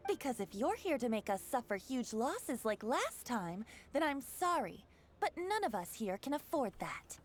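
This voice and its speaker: Wary bank clerk, high voice, lively swooping intonation, stiff politeness, curt and formal, video game dialogue. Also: female voice, normal speed, slight background noise. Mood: wary, reproachful. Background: background music.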